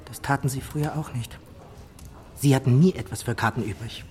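An actor playing a reserved, proud naval captain in a German period radio play, with a measured, restrained delivery. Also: male voice, mid-range voice, darkish tone, a little hiss or hum, natural voice. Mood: restrained, pointed.

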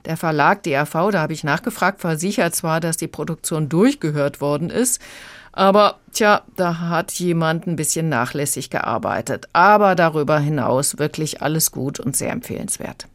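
A woman's voice: deep voice, normal speed, dry recording. A woman giving a conversational audiobook review as a critic.

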